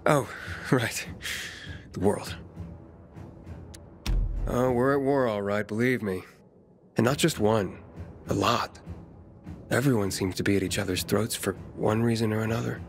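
A man's voice: fairly low pitch, measured pace, long pauses, faint music behind. An actor playing an earnest, plain-spoken male survivor in a video game, talking in a casual, laconic way. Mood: earnest, guarded. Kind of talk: video game cutscene acting.

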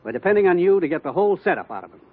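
Brisk, fast-talking radio commissioner. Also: male voice, sits high, very animated, phone-quality sound. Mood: urgent, serious. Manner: brisk, authoritative. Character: urgent, businesslike male commissioner briefing his agent. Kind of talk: 1950s radio drama acting. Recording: old band-limited radio recording.

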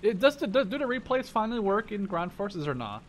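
A young man talks very quickly in a slightly high voice during an online game session. He is excitable and sweary, trading casual banter with a teammate. Faint game engine noise sits behind him. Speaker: gamer playing in a squad. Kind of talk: casual gaming chat.

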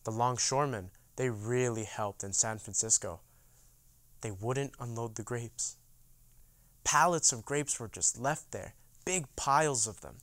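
Smooth young man acting a boycott veteran. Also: mid-range voice, measured pace, a bit bright, no echo. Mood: reflective, proud. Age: young adult. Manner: theatrical storytelling, acts out dialogue. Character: animated boycott veteran proudly recounting union victory. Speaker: actor in oral history dramatization. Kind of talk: dramatized first-person monologue.

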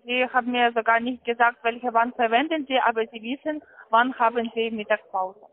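A woman making an insistent call centre call, pushy and repetitive, with halting non-native phrasing. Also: on the high side, fairly even intonation, slight background noise. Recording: tinny narrowband phone line.

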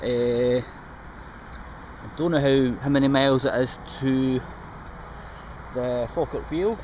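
A chatty middle-aged Scottish man talks into a handheld action camera while out on a bike ride. His voice sits at an ordinary middle pitch, and the outdoor camera audio catches clear traffic noise and ambient sound behind him. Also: fairly even intonation, takes it slowly, darkish tone, lo-fi sound. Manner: chatty, rambling, pointing things out. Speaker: vlogger. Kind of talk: casual commentary while cycling. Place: outdoors on canal paths and roadsides. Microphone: action camera held or mounted on the bike.

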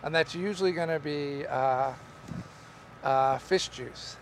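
A middle-aged man, a market farmer, explaining how he grows tomatoes in a relaxed, rambling way with many fillers, recorded in a large greenhouse by a camera some distance away. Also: a little high, a little hiss or hum. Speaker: market farmer teaching growing. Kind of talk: casual on-camera farming lesson. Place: large greenhouse among tall tomato plants. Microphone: camera at a distance, wide shot.

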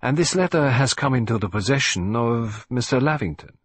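A man's voice: mid-range voice, animated, normal speed, slightly rough, no room sound. A man voicing a fussy, vain older male detective with a put-on French accent, in a precise, self-satisfied manner. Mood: attentive. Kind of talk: audiobook reading.

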